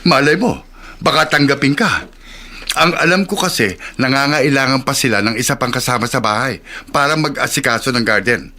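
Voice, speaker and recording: A friendly, encouraging household helper with a bright, forceful mid-range voice. It is radio drama acting over audible background noise. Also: male voice, mid-range voice, normal speed. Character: friendly, encouraging male household helper, respectful to his boss.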